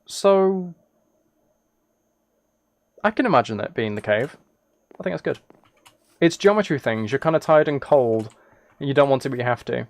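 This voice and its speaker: A British man talks casually as he streams a game. His pitch sits in the middle, and he rambles along with self-deprecating, apologetic asides. The recording is very dry and close, with no room sound. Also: expressive, moderate pace, long pauses, no room sound. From United Kingdom. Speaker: live streamer playing a video game. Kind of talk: casual livestream chat while gaming.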